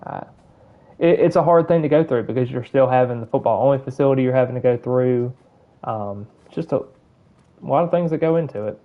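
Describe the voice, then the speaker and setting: A man's voice: medium pitch, fairly quick, phone-quality sound. A man from Alabama with a Southern accent, chatting casually and rambling while he plays a video game on stream. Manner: rambling, filler-heavy, chatting while playing. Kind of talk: casual gameplay commentary.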